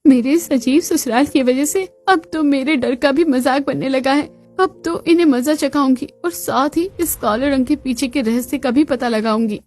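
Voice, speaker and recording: A woman's voice: high-pitched, normal speed, hardly any pauses, darkish tone, faint music behind, natural voice. A woman voicing a sarcastic, defiant young daughter-in-law in a family cartoon, dramatic and mock-sweet. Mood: scheming.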